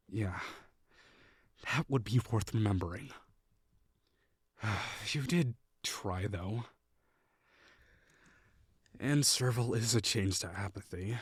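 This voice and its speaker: A man's voice: medium pitch, husky, no room sound, clean studio sound. A young man playing a haughty, sarcastic college rival who is secretly lonely, in a scripted audio roleplay. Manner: sarcastic, scornful, quick to bristle.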